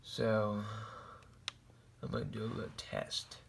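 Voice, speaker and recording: An American man giving a casual show-and-tell in a halting, rambling, plain-spoken way. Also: on the low side, very animated, gravelly, very noisy. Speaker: hobbyist vlogger showing a radio collection.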